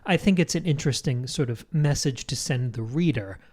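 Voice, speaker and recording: A man's voice: medium pitch, fairly quick, a little mellow. A man co-hosting a book podcast, giving conversational literary analysis and thinking aloud as he goes. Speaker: book club podcast co-host.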